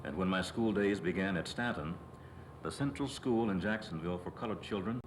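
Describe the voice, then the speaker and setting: A man's voice: deep voice, a little fast, close to an everyday voice. A man reading the part of a reflective author recalling his childhood in a scripted documentary memoir. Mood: reflective recollection. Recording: loud background noise.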